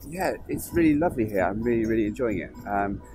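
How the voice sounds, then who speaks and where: A man's voice: on the low side, expressive, fast talker, music in the background. A man who sounds sniffly with a cold, chatting informally and unhurriedly to viewers as he talks through a drawing tutorial step by step, as an illustrator and host.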